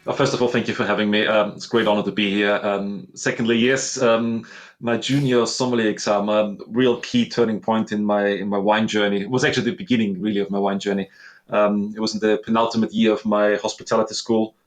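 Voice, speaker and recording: A man's voice: fairly low pitch, flat delivery, brisk. A man in his thirties from Austria with an Austrian accent, a guest telling his story earnestly and reflectively in an interview. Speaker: guest, master sommelier. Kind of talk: conversational interview.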